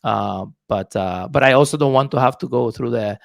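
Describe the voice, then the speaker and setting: A man's voice: mid-range voice, normal speed, creaky, no echo, clean studio sound. A man from Puerto Rico with a Puerto Rican accent, talking as a podcast guest in a thoughtful, hesitant way with many fillers. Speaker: guest, real estate investor. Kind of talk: casual podcast interview.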